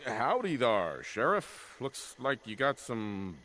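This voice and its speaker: A man's voice: medium pitch, very animated, takes it slowly, a bit bright, a little hiss or hum. A man playing an adventurer in video game character dialogue. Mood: playful, hammy. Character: adventurer playing along with cowboy roleplay.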